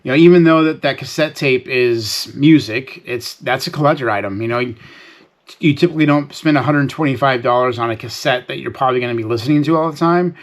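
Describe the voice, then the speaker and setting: A man's voice: medium pitch, a little fast. Casual man talking through reselling sales. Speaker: reseller hosting a sales recap vlog. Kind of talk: casual unscripted talk to viewers.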